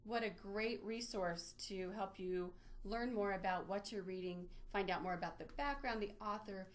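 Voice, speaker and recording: A woman's voice: mid-range voice, normal speed. A woman presenting an instructional webinar, explaining things step by step as she walks through a screen demonstration. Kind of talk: instructional screen-share walkthrough.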